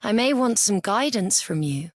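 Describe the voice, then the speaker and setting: A woman's voice: medium pitch, expressive, moderate pace, slight background noise, natural voice. A woman voicing a dutiful young leader in a video game, thoughtful and formal. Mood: pensive. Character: dutiful young female leader weighed by responsibility. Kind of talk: video game character voice lines.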